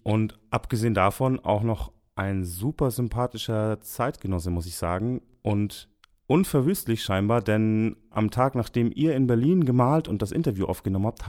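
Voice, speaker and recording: A man's voice: lowish voice, darkish tone. A man presenting a show intro in chummy, praising banter with a friend.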